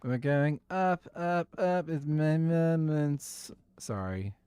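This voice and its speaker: A man's voice: on the high side, takes it slowly, dark tone, smooth. A man giving easygoing, musing commentary while he plays a game as a streamer. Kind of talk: casual gaming commentary.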